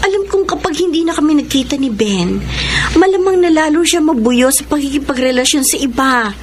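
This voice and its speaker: A woman's voice: sits high, expressive, a little fast. An anxious, worried young woman. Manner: anxious, pleading. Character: Ana, a young woman worried about parents. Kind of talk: radio drama acting and letter narration. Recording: radio drama with music bed.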